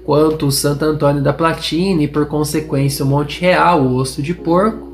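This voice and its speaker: A man's voice: a little high, steady tone, normal speed, a little hiss or hum. A young man from northern Paraná with a caipira Portuguese accent, hosting a history podcast in an informal, hesitant way, with many fillers and restarts. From Norte Pioneiro, Paraná, Brazil. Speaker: podcast host, history student. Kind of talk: informal spoken podcast narration.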